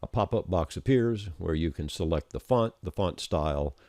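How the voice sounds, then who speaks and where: A man with a deep, mellow voice walks through software steps as an instructor. His intonation moves around a good deal while he explains each click in an informal, practical way. It is a screencast-style voice-over. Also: animated, moderate pace, no echo. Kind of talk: informal step-by-step screencast narration.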